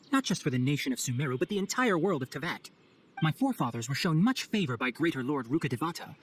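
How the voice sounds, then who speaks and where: A man's voice: medium pitch, slight background noise, close to an everyday voice, low-quality recording. An actor playing an earnest, scholarly young male forest ranger in a video game cutscene, speaking formally and explaining things with composure. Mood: solemn, assured.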